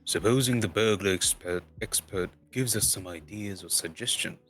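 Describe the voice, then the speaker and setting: Storybook dwarf lord, performed by a man: low voice, proud and impatient, declaiming in emphatic bursts, music underneath. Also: normal speed, a bit bright, lightly acted. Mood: brisk. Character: Thorin, proud male dwarf leader, grandiose and impatient.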